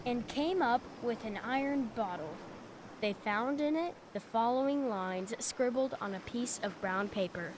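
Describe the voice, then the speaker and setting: A woman narrating a scripted story as a storybook reader, with a measured, deliberate delivery. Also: on the high side, lively intonation, noisy background. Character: storybook narrator reading a tale and letter. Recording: quiet voice under loud music. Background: loud music.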